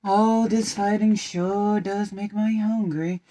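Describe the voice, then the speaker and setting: A woman doing a slow, gentle bear. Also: medium pitch, steady tone. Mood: mild. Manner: slow and mild.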